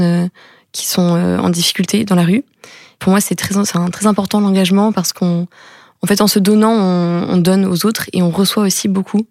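A woman's voice: lowish voice, darkish tone, dry recording, clean studio sound. A twenty-year-old woman from Tours, a student guest talking earnestly with some hesitation. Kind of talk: casual chat.

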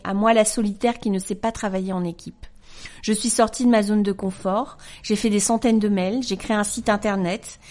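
A woman in her forties hosting a podcast, reading a letter aloud in a calm, measured, earnest way. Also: mid-range voice. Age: 40s. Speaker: podcast host.

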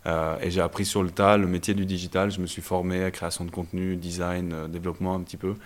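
Young dude, very low voice, chatty startup founder, lots of ums. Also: male voice, fast talker. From Belgium. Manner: relaxed, many hesitations and fillers. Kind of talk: casual interview answers. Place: startup incubator office.

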